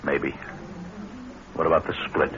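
An actor with an American accent playing a wary small-time blackmailer in a vintage radio crime drama, talking tough and terse. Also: male voice, a bass voice, little pitch movement, a little slow, fairly bright tone. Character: suspicious small-time male crook and blackmailer. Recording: muffled, noisy old radio recording.

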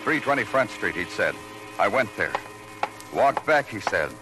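An American actor playing a curt, world-weary police detective in an old radio noir drama, with terse, deadpan delivery. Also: male voice, lowish voice, a little slow, over music. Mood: brooding, grim. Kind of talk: 1940s-50s radio noir acting and narration. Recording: old radio broadcast recording.

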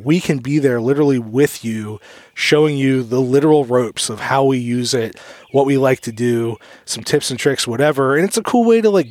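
A man's voice: mid-range voice, dry recording. A man in his thirties chatting casually on a podcast, joking and laughing as he tells stories. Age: 30s. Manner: joking, laughs often, animated storytelling. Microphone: studio microphone.

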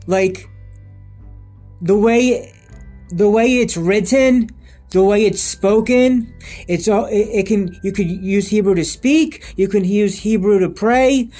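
Man in his thirties with a high voice, rambling solo vlogger, lots of restarts, faint music underneath. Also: darkish tone. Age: 30s. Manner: rambling and repetitive, with many restarts and emphatic lists. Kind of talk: unscripted monologue to camera.

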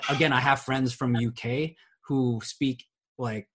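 A man's voice: mid-range voice, steady tone, a little slow, noisy background. Earnest man thinking aloud on a podcast. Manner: earnest, searches for words with many "um"s and "right?". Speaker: podcast co-host. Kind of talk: casual podcast discussion.

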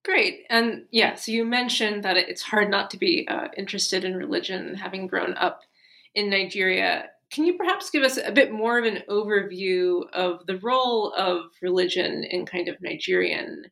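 A woman's voice: mid-range voice, normal speed, creaky, a bit bright. A woman hosting an interview podcast, asking friendly, carefully phrased questions. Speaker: podcast host.

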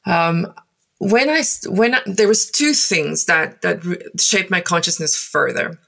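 A woman in her forties with a South African accent and Afrikaans as her mother tongue recalls her past in English. Her voice sits on the low side with a lot of vocal fry. She speaks reflectively, stopping often and filling gaps with "um.". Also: fairly bright tone. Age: 40s. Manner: thoughtful, halting, many pauses and fillers. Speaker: guest. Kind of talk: reflective personal storytelling.

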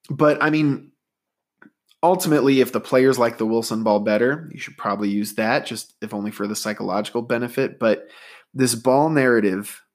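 A man hosting a solo sports podcast, talking in a rambling, conversational way with a stuffy nose. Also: mid-range voice. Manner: conversational, rambling, data-minded. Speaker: podcast host.

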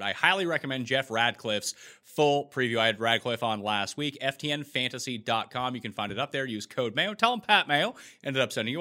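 A man's voice: mid-range voice, bright, forceful tone. A man from Canada hosting a sports podcast, asking long, conversational questions of his guest. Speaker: podcast host.

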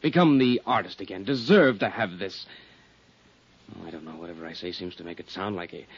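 An actor playing an anxious, gentle male violinist who speaks hesitantly and earnestly in a 1950s radio drama. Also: deep voice, fairly quick, breathy edge. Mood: wistful, hushed. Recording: muffled, noisy 1950s radio recording.

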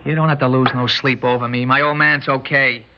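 A man's voice: medium pitch, little pitch movement, fairly quick, background noise, natural voice. An actor playing a cocky young small-time gunman in a radio drama, with brusque, slangy tough-guy talk. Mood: defensive. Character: cocky, uneducated young male small-time stickup man. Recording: dull old radio recording.

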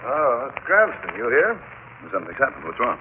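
An actor playing a suave, solicitous family lawyer in a 1940s radio drama. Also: male voice, medium pitch, big pitch swings, brisk, close to an everyday voice. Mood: shocked. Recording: tinny, noisy old radio broadcast.

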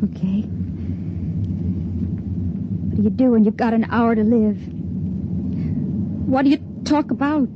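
A dull, noisy vintage radio recording carries a doomed young American woman stowaway played by an actress. Her voice is on the high side, halting and earnest, with a frightened, wistful resignation in classic 1950s drama style. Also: natural voice. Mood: wistful, regretful.

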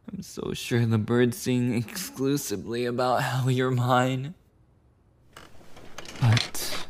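A man's voice: mid-range voice, a little mellow, a little hiss or hum. A man playing a clingy, insecure, possessive boyfriend in an intimate ASMR roleplay, rambling breathily and often slipping into whispers. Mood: anxious.

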